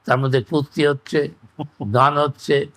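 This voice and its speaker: A man's voice: fairly high pitch, level tone, moderate pace, fairly bright tone. An older man speaks. He talks conversationally as an interviewee, stating firm opinions and posing rhetorical questions as he goes. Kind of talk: conversational oral-history reminiscence.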